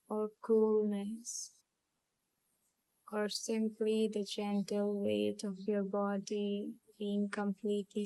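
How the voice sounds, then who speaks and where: Slow, mellow woman guiding a visualisation. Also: medium pitch, no echo, poor audio. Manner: calm, soothing, unhurried with long pauses. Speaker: hypnotherapy channel host and guide. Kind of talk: guided visualisation, gentle hypnotic storytelling.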